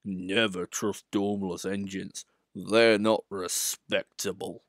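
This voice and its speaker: Man voicing a haughty boastful engine, slow grumbling drawl, dry close recording. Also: low voice, level tone, dry recording. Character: pompous boastful big male express engine.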